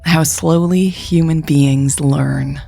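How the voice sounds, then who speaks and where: A woman's voice: deep voice, fairly even intonation, takes it slowly, vocal fry, dark tone, soft background music. A woman narrating a scripted first-person story for a podcast in an intimate, confiding way.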